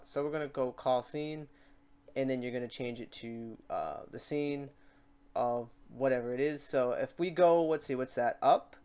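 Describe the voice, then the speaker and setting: A man with a clean, smooth voice talks through a software tutorial as its host. He is informal and unscripted, working things out aloud as he goes. He is quick to poke fun at his own work. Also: medium pitch. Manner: informal, thinking aloud, self-deprecating. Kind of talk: casual, improvised software tutorial.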